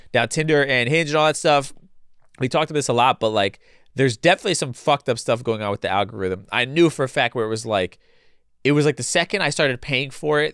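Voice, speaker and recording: A male podcast host, speaking casually and bluntly, a bit congested and hoarse from a cold. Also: medium pitch, a bit bright, no room sound, clean studio sound. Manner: blunt and casual, swears freely, many restarts. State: congested with a cold, losing his voice. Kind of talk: solo opinion commentary.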